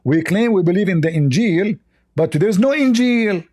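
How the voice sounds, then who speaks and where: A man's voice: high voice, lively intonation, normal speed, a little mellow. A man who is a non-native English speaker, debating religion in an argumentative, repetitive way and cutting in on the other speaker. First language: not English.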